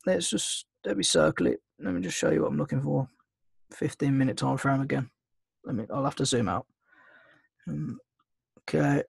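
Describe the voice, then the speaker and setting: A man's voice: a little high, moderate pace, vocal fry, long pauses, slightly rough, dry recording. A man with a slight cold, casually teaching a trading webinar, rambling with lots of ums and restarts. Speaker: trading mentor hosting a webinar. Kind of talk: casual screen-share teaching.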